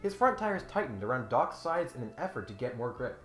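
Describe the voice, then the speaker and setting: A man reads a script as the host of a comedic critique. His pitch moves up and down freely, and he slips from mock-serious narration into sardonic, exasperated commentary. The room adds some echo, and music sits faintly underneath. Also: very animated, fairly quick, a bit bright. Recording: roomy sound with faint music behind.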